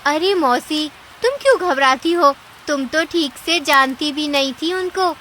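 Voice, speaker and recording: A woman's voice: sits high, a little slow, pushed and bright, a little hiss or hum, rough recording. A woman playing a clever, sympathetic female bird in a cartoon story, talking in a chatty, dramatic, coaxing way. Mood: slyly coaxing. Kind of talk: Hindi cartoon story acting.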